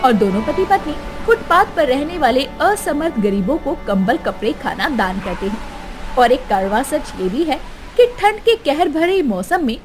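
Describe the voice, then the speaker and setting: A woman's voice: sits high, big pitch swings, a little slow, over music, low-quality recording. A woman narrating a story in a dramatic, scripted storytelling style.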